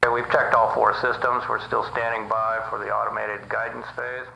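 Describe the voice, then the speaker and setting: A man giving calm, matter-of-fact status reports on archival mission control radio. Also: lowish voice, brisk, vocal fry, reverberant, low-quality recording.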